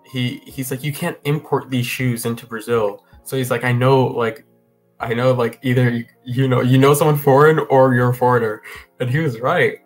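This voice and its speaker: A man's voice: medium pitch, steady tone, moderate pace, faint music behind. Easygoing young man rambling on a video call. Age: young adult. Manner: rambling with many fillers and restarts, easygoing. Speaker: guest. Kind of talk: casual interview. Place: home room over a video call.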